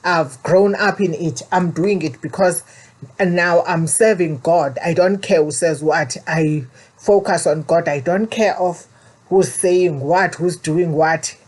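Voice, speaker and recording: A woman with a South African accent talks straight to her viewers, earnest and a little preachy. She repeats her warnings to drive them home. Her voice sits slightly low for a woman. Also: slow pace, darkish tone. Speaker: vlogger. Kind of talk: personal story and warning to viewers.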